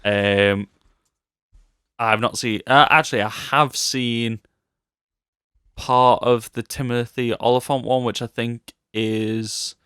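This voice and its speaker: A man with a mid-pitched voice chats as a podcast co-host. His delivery is offhand and wry, in casual conversation. The recording is dry, with no real room sound. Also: fairly even intonation, takes it slowly, pauses often, fairly bright tone, clean tone, dry recording. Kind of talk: casual film discussion podcast.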